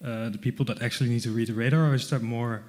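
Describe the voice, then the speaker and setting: A man's voice: medium pitch, fairly even intonation, very fast, darkish tone, slight background noise. A man in the audience politely asking a technical question in a conversational way.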